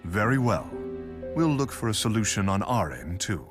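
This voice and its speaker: A stern king from a game, low in pitch. He is calm, regal and commanding, choosing formal, terse words. Music sits beneath the voice. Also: moderate pace. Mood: grave. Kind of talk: English video game voice acting. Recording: music under the voice.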